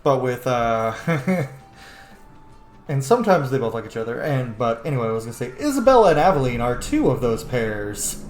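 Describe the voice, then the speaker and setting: Male streamer, casual and sweary, animated pitch, echoey room with faint noise. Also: moderate pace, faint music behind. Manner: sweary, chatty. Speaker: game streamer. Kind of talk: casual gameplay commentary.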